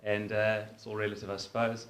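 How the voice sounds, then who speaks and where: A man's voice: fairly low pitch, monotone, brisk, fairly bright tone. A man with a South African accent giving a formal convention speech from a podium, thanking guests with informal asides and hesitations. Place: synagogue hall. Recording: echoey hall with faint ambience.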